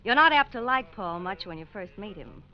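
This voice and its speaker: Bitter, sharp-tongued wife played by actress. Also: female voice, medium pitch, lively intonation, moderate pace, lightly acted. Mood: bitter, sardonic. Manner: dry, cutting sarcasm. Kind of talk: 1940s-style radio drama acting. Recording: dull old radio recording.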